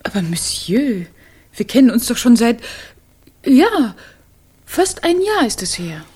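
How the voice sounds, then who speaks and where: An actress in a German radio crime drama playing a coy, soothing housemaid, deferential and secretive, with a husky voice. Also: female voice, a little high, sing-song, takes it slowly, darkish tone, exaggerated voice. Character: coy, soothing, protective housemaid in 1917 Paris. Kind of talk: German radio play acting.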